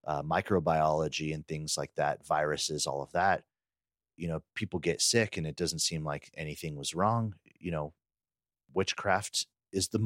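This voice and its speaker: Male co-host with a very low voice, relaxed podcast conversation, very dry close sound. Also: no room sound. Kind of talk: casual conversational discussion.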